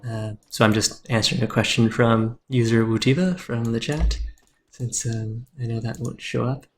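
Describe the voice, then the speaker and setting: Man, mid-pitched, soothing voice; calm live game streamer explaining moves, keyboard clicks behind. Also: normal speed.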